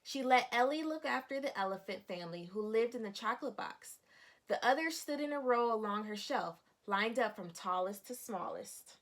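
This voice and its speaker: A woman's voice: fairly high pitch, lively intonation, normal speed. A woman reading a picture book aloud like a teacher, guiding young children and putting questions to them. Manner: instructive, addressing young children.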